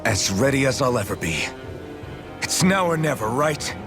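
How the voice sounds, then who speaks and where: A man's voice: medium pitch, big pitch swings, normal speed, natural voice. A man voicing a troubled but determined young hero in a game, speaking in an earnest, brooding manner. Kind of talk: dubbed anime-style game voice acting. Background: prominent background music.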